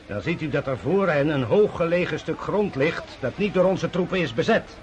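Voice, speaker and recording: An actor playing a sardonic army general in a radio drama, with a commanding, dry, condescending manner. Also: male voice, fairly high pitch, lively intonation, moderate pace, close to an everyday voice. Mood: commanding, dismissive.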